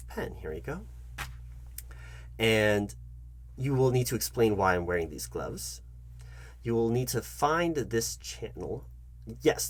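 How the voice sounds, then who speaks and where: Man with swooping, husky voice playing fussy interviewer. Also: medium pitch. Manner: fussy, halting, repeats himself, addresses listener directly. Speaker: ASMR channel host acting as job interviewer. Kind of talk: comedic interviewer roleplay monologue.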